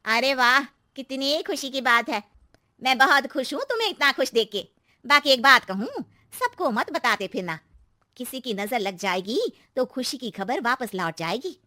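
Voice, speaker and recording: A woman voicing a superstitious older neighbourhood auntie who gives slow, emphatic, knowing warnings in a storybook style. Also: high-pitched, lively intonation, pushed and bright, dry recording, pitched above the performer's own voice. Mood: ominous warning.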